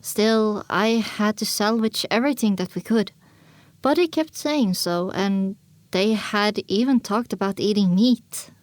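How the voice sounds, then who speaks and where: A woman's voice: medium pitch, measured pace, no echo, close to an everyday voice. A woman narrating a dramatic audiobook in the first person as a young filly pony, reflective and scripted. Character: young filly pony recounting her wasteland journey.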